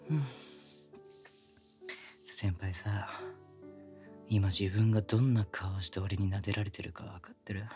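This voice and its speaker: A man's voice: on the low side, steady tone, measured pace, long pauses, mellow, close to an everyday voice. A man playing a pushy, affectionate younger male coworker in love, murmuring teasingly in an intimate ASMR boyfriend roleplay, often close to a whisper. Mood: teasing, tender. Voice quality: breathy, often dropping to a whisper. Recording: close binaural recording with background music.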